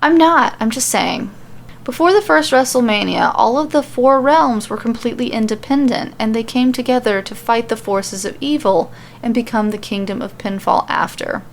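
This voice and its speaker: Fantasy audio story lead, voiced by a woman narrator: level-headed, condescending female mage, matter-of-fact, higher pitched, faint noise. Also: normal speed, vocal fry. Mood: insistent.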